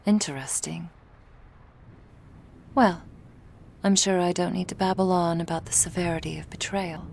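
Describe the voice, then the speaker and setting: A woman playing a cool, menacing female vampire crime boss in an ASMR roleplay, speaking in a measured, authoritative way. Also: lowish voice, steady tone, gravelly, a little mellow. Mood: coolly suspicious.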